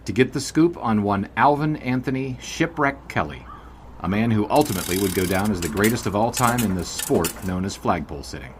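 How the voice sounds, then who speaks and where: A man's voice: on the low side, lively intonation, fairly quick, noisy background, close to an everyday voice. A man playing a seasoned old-time sports writer, narrating a scripted history story in a dry, wry way. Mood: measured. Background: background music.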